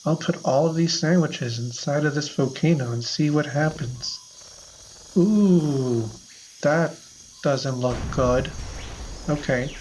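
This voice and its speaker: A podcast host with a middling pitch improvises a comic audio adventure in loose, chatty banter. The delivery is playful and rambling. Noticeable background noise sits behind the voice. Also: takes it slowly, darkish tone. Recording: noisy background.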